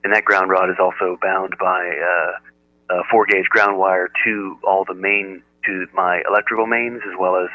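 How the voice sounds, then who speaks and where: Deep-voiced man on a narrow radio channel. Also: little pitch movement, normal speed, dry recording. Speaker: amateur radio operator. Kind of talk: casual radio chat, explaining technical setup. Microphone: radio transceiver audio output. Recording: narrow muffled radio audio, dry.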